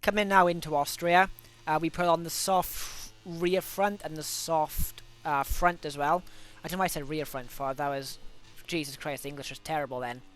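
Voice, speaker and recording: A man's voice: on the high side, steady tone, moderate pace, hardly any pauses, a bit bright, faint music behind. A young Welsh man with a cold coming on, chatting casually through his racing game laps with plenty of fillers. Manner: chatty, self-correcting, many fillers. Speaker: gaming video host. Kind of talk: casual gameplay commentary.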